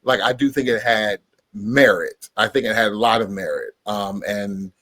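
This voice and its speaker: A man's voice: on the low side, moderate pace, fairly bright tone, dry recording. A man on a debate panel defending his opinion casually, adding careful qualifications as he goes. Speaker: panelist on a hip-hop debate show.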